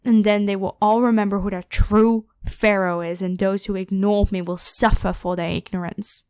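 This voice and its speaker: A woman's voice: mid-range voice, fairly even intonation, normal speed, darkish tone, smooth, no echo, studio quality, close to an everyday voice. A woman voicing an evil ancient pharaoh in an ASMR roleplay, imperious, demanding and scornful as she addresses the listener. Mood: proud, boastful. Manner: haughty, commanding, theatrically scornful.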